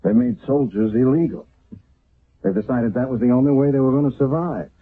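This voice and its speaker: An actor playing a weary, earnest army sergeant giving a tense report in an old radio drama. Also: male voice, mid-range voice, brisk, husky, narrow, tinny audio, close to an everyday voice. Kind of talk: 1970s radio drama acting.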